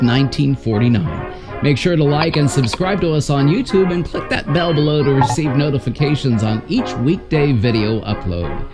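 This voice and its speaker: A man hosting with a scripted, announcer-like narration. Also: mid-range voice. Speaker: host. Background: music.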